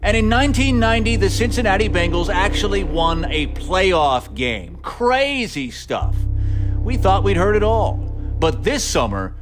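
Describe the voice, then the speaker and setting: A man's voice: high-pitched, big pitch swings, measured pace. A man delivering scripted, humorous documentary narration with playful, mock-dramatic emphasis, like a podcast host. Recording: music bed under narration. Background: music.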